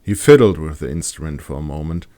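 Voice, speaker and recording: Man, low-pitched voice, scripted fantasy audiobook narration. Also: lively intonation, fast talker, dark tone. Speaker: author reading own audiobook.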